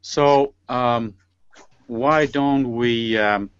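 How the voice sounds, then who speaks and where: A man's voice: mid-range voice, very slow, clear, resonant tone, no room sound, lo-fi sound. A man hosting an informal online panel over a video call, speaking in a hesitant, stop-and-start way. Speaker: host of an online panel show.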